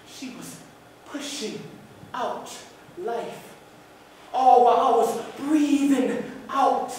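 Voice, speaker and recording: A man performing a theatrical stage monologue as an emotional young father recalling a birth, with deliberate dramatic pauses. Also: extremely high pitch, pitched above the performer's own voice. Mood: intense, strained. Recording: very echoey room, distant mic, faint noise.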